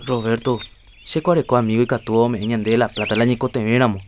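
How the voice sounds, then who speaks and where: A man's voice: medium pitch, flat delivery, a little fast, a little mellow, slight background noise, close to an everyday voice. A man with a Paraguayan accent playing an earnest community health promoter in an educational radio drama. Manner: earnest, persuasive, lecturing. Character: earnest adult man urging health checkups.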